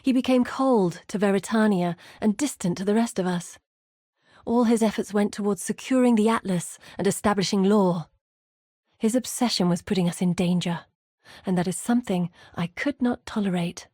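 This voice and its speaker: Video game role: actress as earnest woman expedition leader, weary and grave, articulate delivery, mid-range pitch, close dry recording. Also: mellow, no echo, close to an everyday voice. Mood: grave, reflective. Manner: measured, grave, articulate storytelling. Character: weary, earnest woman explorer leading exiled warriors. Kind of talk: scripted video game voice acting.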